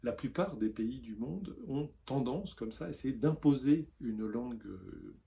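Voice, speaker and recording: Earnest French-speaking man, mellow voice. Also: mid-range voice, expressive, measured pace, vocal fry. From France. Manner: earnest, with frequent hesitations. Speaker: newsletter columnist. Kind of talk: opinion video commentary.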